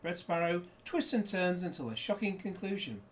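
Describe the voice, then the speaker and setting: Male reviewer, loose and jokey, a touch higher-pitched, faint background hiss. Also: animated, normal speed. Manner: rambling, jokey asides. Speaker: disc reviewer. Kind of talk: casual unboxing chat.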